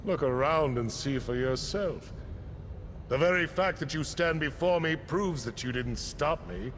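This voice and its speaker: A man playing an arrogant, menacing high priest in a fantasy game, taunting in a pompous, theatrical way. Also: a little slow, fairly bright tone, a little hiss or hum. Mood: gloating menace.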